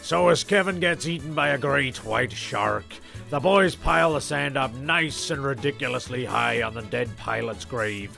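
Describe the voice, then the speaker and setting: A very gravelly, crackling voice over faint music: a man as the narrator of a castaway comedy. He is deadpan and wry, with a forceful edge as he tells the tale. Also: medium pitch. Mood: mock-solemn. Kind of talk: scripted comic narration.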